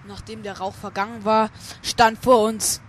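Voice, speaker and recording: A man's voice: high voice, level tone, unhurried, fairly bright tone, slight background noise. A man narrating a parody audio drama in the first person, as scripted storytelling. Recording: music under the voice.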